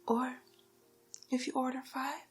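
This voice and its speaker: A woman playing a haughty, vain, glamorous villainess in an ASMR roleplay, whispering breathily and condescendingly with drawn-out endearments. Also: high voice, level tone, breathy edge, soft background music, voice pushed up, exaggerated voice. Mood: luxuriating, persuasive.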